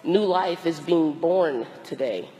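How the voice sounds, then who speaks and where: A hoarse, tired woman priest with a cold gives a slow, deliberate sermon with long pauses. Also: low-pitched, lively intonation, dark tone, big-room sound, poor audio. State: hoarse, losing her voice from a cold; exhausted. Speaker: Episcopal priest. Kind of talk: reflective sermon.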